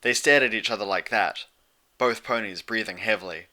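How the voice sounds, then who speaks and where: A man reading fiction aloud as an expressive scripted story narrator. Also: lowish voice, normal speed.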